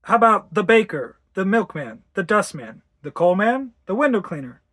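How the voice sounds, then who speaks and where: A woman's voice: medium pitch, sing-song, moderate pace, pitched above the performer's own voice. Woman acting a breezy, sensible husband. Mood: teasing.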